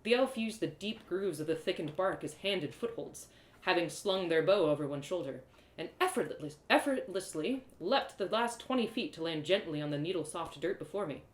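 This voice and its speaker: A woman reading a first-person fantasy story aloud in expressive storybook narration. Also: fairly low pitch, normal speed. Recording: roomy echo.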